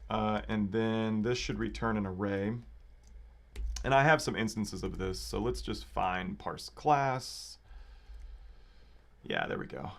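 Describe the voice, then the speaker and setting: A man with a slightly raspy voice giving casual live coding commentary, thinking aloud informally as a developer hosting a livestream. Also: fairly low pitch, lively intonation, slow, long pauses, fairly bright tone. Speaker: livestream host and software developer. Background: computer keyboard typing and clicking.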